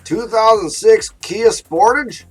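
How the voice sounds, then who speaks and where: A gruff Old West gunslinger drawls short, terse answers in a put-on cowboy accent, a man doing the voice. The voice sits high. Faint music plays underneath. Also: slow pace.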